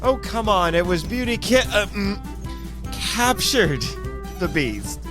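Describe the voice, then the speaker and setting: A podcast host, a man with a fairly high voice, tells an adventure story over music. His delivery is theatrical and very animated, moving between scripted narration and excited dialogue. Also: takes it slowly. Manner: theatrical, expressive.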